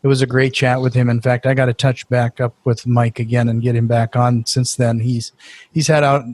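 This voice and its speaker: A man hosting a podcast in casual chat, talking in quick restarts with filler words and eager reactions. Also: medium pitch, level tone, a little mellow, no echo. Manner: friendly, stumbling restarts and "uh", enthusiastic reactions. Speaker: podcast host.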